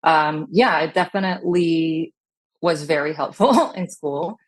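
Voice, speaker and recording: A woman with a notably low voice, speaking as a guest in a casual interview. She is from California, spoke Hebrew before English, and looks back on her upbringing calmly, with plenty of "like" and "you know.". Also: a little slow. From Long Beach, California. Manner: relaxed, reflective, many fillers.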